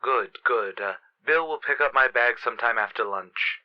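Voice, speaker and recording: A man's voice: mid-range voice, normal speed, highs cut off, natural voice. An actor playing a stern, formal professional man in a vintage radio soap, with a clipped, authoritative manner. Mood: brisk, cool.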